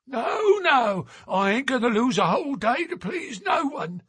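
A man voicing a petulant, stubborn old sailor with a gruff, quavering voice. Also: very high-pitched, sing-song, measured pace, fairly bright tone, no room sound, studio quality, voice pushed up, full character voice. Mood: stubborn, querulous.

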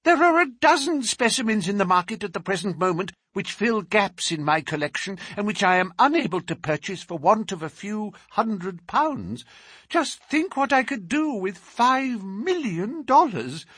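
A man voicing an excitable elderly male collector, eager and breathless. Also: high-pitched, moderate pace, slightly rough, no echo, studio quality, voice pushed up, exaggerated voice. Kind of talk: audiobook character reading.

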